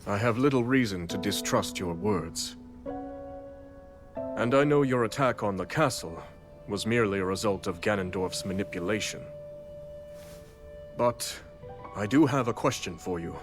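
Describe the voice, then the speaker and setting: An actor playing a stately, measured, cautious leader in a video game cutscene. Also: male voice, lowish voice, music in the background, close to an everyday voice. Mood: grave.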